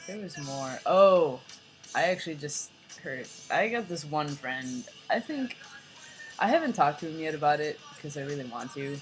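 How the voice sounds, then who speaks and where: A young man with a light, fairly high voice talking in a rambling, casual vlog, full of "like" and "you know". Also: poor audio. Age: young adult. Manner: rambling, filler-heavy, lots of "like" and "you know". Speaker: vlogger.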